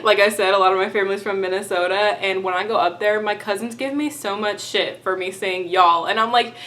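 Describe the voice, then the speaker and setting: A woman's voice: medium pitch, a little fast, a bit bright, a little hiss or hum. Giggly young woman with a light Texan accent. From Texas. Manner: giggly, chatty, rambling with many "like"s. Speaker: beauty vlogger. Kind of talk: casual vlog chat.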